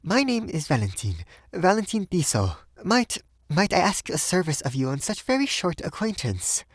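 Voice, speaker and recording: A man voicing a shy, nervous young Parisian woman in an audiobook, soft and hesitant, with an earnest delicacy. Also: high voice, very animated, normal speed, dry recording, clean studio sound, voice pushed up. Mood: grave, hesitant. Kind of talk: audiobook character voice.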